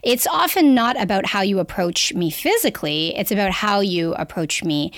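A woman's voice: medium pitch, normal speed, no room sound. Chatty Canadian woman, dry close sound. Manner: lively, talkative, laughs easily. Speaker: guest sexologist. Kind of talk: podcast interview, explaining.

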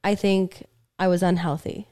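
A woman co-hosting a podcast, talking candidly and reflectively with frequent restarts, her voice slightly raspy. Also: lowish voice, fairly even intonation, mellow. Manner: reflective, speaks in halting restarts. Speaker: podcast co-host. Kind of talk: candid conversation.

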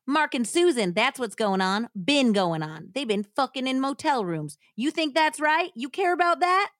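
A woman's voice: sits high, sing-song, moderate pace, dry recording, clean studio sound, pitched above the performer's own voice. Woman acting a furious, crude jealous husband. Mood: furious, accusing. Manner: shouting, accusing, profane.

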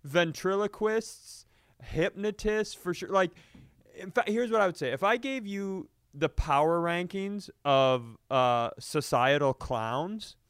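A man telling comedic stories in casual, animated, profane banter, often acting out quotes as a comedy podcast host. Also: high voice, slow pace, clean tone, no echo, studio quality.

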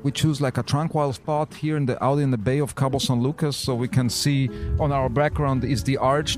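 A man in his thirties from Austria who grew up in Italy, answering interview questions in relaxed, plain-spoken English with halting phrasing. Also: fairly high pitch. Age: 30s. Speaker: diver and business owner. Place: on a boat in a bay.

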